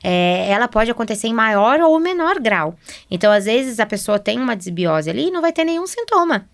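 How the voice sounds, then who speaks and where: A woman with a fairly high voice and a Brazilian Portuguese accent talks as a podcast guest. She explains health advice in a conversational way, often checking in with short tags. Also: big pitch swings, a little fast, gravelly. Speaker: guest nutritionist.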